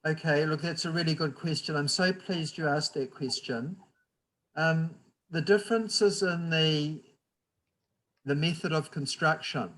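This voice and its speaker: A man with a New Zealand accent giving a guest lecture on building structures, explaining slowly and patiently over a video call. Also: on the high side, a bit bright, rough recording. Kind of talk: university lecture, slow and deliberate.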